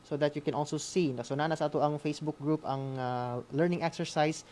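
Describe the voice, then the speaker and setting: Female moderator, Filipino accent. Halting, unscripted delivery, relaying participant questions. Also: low voice, fairly even intonation, dark tone. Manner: halting, tags phrases with "no?". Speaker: radio school program host and moderator.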